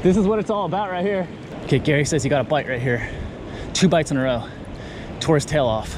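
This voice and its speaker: High-voiced enthusiastic man over surf noise. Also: a little fast. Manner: enthusiastic, slangy, often repeats short phrases. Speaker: host of a fishing vlog. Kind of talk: casual running commentary while fishing. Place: outdoors on a rocky ocean beach, wading. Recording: noisy outdoor action-camera audio with surf. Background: waves and surf.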